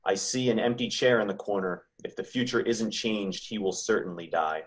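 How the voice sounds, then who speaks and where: Grave spirit guide, played by a man, low voice, moralizing, for a holiday drama read. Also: normal speed, natural voice.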